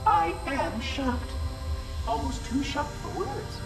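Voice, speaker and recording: A man voicing a prim, pompous, excitable robotic monitor with florid, theatrical delivery and an echoing synthetic effect in a video game. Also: extremely high pitch, slow, vocal fry, heavily acted. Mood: indignant. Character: fussy, prim male robotic monitor, gleefully pedantic and menacing. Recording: echoing, with music behind.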